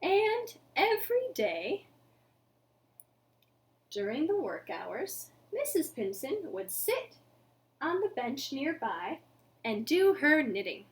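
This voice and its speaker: A young woman hosting a children's storytime, speaking to little ones in an animated, deliberate storytelling manner. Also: sits high. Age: young adult. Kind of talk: children's storytelling and action songs. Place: living room at home.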